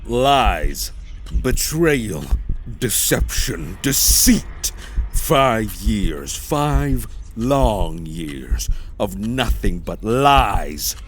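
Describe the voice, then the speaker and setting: A man's voice: lowish voice, sing-song, a little hiss or hum, full character voice. A man playing a sinister, venomous voice of guilt that taunts and goads in a fantasy audio drama. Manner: slow, taunting, drawn-out delivery. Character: sinister male voice of guilt, tempting, venomous, goading.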